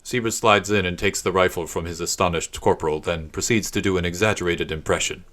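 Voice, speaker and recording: A man's voice: low voice, fairly even intonation, a little fast, fairly bright tone. A man reading a historical war story aloud as scripted dramatic narration for a literary podcast.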